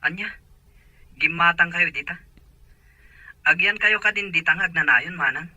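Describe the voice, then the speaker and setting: Bright, forceful Ilocano son played by a man. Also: on the high side, normal speed, dry recording, highs cut off. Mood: concerned, questioning. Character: adult son anxious about the family's pawned farmland. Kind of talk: Ilocano audio drama acting.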